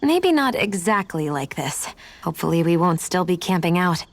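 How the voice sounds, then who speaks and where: A woman playing a composed, quietly affectionate young adventurer in a video game, with a gently teasing manner. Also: on the low side, big pitch swings, normal speed. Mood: warm, wistful. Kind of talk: video game cutscene acting.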